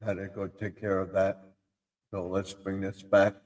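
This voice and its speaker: A man's voice: low-pitched, completely flat delivery, a little slow, a little mellow, in a large hall. A man hosting a casual live stream, his voice scratchy from a sore throat and his talk rambling and self-interrupting. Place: home room.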